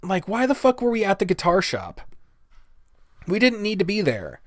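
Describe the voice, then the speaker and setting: A man's voice: high-pitched, wildly swooping pitch, normal speed, no room sound, clean studio sound. A man delivering a casual, blunt, exasperated rant full of swearing, as a reviewer giving his opinions. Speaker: reviewer of visual novels.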